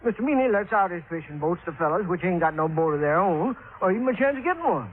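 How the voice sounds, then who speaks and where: A plain-spoken small-town helper from an old radio play, with a high male voice and a Southern American drawl. He is folksy and deferential. The sound is thin and narrow, like a vintage broadcast. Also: sing-song, fast talker. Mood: folksy explaining. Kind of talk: 1950s radio drama acting.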